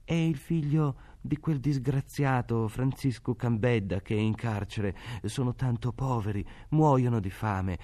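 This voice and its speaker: A man voicing a brisk, matter-of-fact peasant woman who works as a servant. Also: medium pitch, warm tone, voice pushed up, lightly acted. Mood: explaining.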